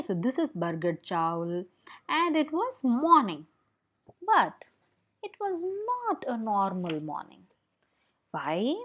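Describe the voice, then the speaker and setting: A young woman with an Indian accent telling a picture-book story to children, explaining as she goes and asking questions in an expressive way. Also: medium pitch. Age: young adult.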